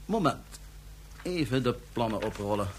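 A man's voice: medium pitch, animated, moderate pace, a little hiss or hum, lightly acted. An actor playing a cold, sarcastic, calculating man of power in a science fiction radio drama, lecturing formally and with condescension. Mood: cool condescension.

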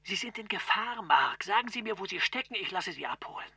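A concerned, authoritative boss in a radio drama. His voice is high and sharp, with a creaky edge. He speaks formally, with controlled urgency. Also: lively intonation, fairly quick, no room sound, heavily acted. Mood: reassuring, pressing. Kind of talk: radio play acting.

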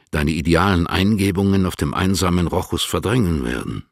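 Very deep, gravelly elderly poet. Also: male voice, no echo, clean studio sound, heavily acted. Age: older. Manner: measured, formal, solemn diction. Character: elderly male poet, fond, measured, gently admonishing. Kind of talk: literary radio drama, reciting letters.

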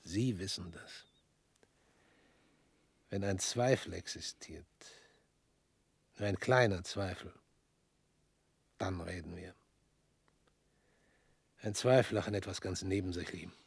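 A weary, husky former prosecutor. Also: male voice, deep voice, no room sound, heavily acted. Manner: measured, drops to near whispers. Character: former prosecutor, cool, weary, probing man. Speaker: actor in radio drama. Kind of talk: radio play acting.

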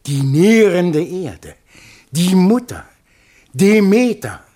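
An actor playing a pompous, long-winded scholar who lectures in florid style in a radio play, with a husky voice. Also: male voice, high-pitched, very animated, takes it slowly, warm tone, exaggerated voice. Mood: grandiose, solemn. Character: pompous, long-winded male scholar showing off paintings to a prince. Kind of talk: German radio play acting.

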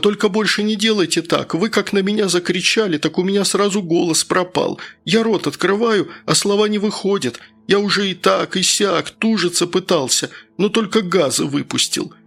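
A man's voice: high-pitched, fairly bright tone, voice pushed up. A man voicing a frightened, chatty, servile workman who babbles and pleads with his master in an audiobook. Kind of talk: audiobook character voice.

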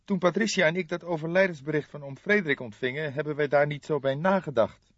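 Man voicing a high-pitched, distraught pleading young man. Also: no room sound, highs cut off, natural voice. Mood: troubled. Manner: halting, emotional, trembling. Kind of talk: audiobook character voice.

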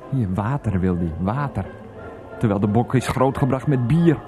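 A male actor playing an evasive, resentful husband in a radio drama. His speech swings animatedly as he grumbles and hushes with sarcasm. Music plays behind him. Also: mid-range voice, expressive, moderate pace, lightly acted. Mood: grumbling, resentful. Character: irritable, evasive philandering husband, sacked and resentful. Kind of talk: Dutch radio play dialogue.

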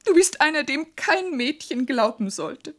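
A woman's voice: high voice, lively intonation, unhurried, fairly bright tone. A woman in a German radio play, playing a sheltered, imperious, lovestruck spinster in an insistent, theatrical way. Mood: wounded, bitter.

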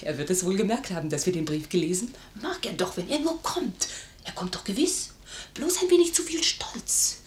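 A woman's voice: medium pitch, normal speed, a little hiss or hum, close to an everyday voice. An actress playing a witty, spirited young noblewoman in a classical radio comedy, articulate and playful in her delivery. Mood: lovestruck, musing. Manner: articulate, playful, theatrically expressive.

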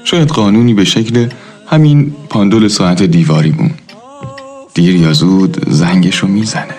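A man playing a reflective, philosophical narrator who tells a radio drama in a measured, literary manner. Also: sits low, darkish tone. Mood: pensive. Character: reflective, philosophical storyteller of an old neighbourhood. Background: faint music.

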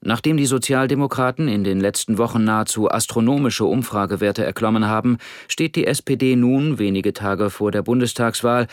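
An actor playing a polished, formal news presenter in a political radio satire. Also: male voice, on the low side, a little fast, no room sound, clean studio sound, natural voice. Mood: formal, probing.

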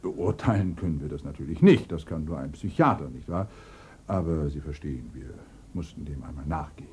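Low-voiced, wily older police inspector. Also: male voice, lively intonation, a little fast, darkish tone, breathy edge, exaggerated voice. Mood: dry, courteous. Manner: hesitant, probing, dryly teasing. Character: older police inspector, wily, sardonic, feigning bumbling politeness. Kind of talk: 1990s German radio crime play.

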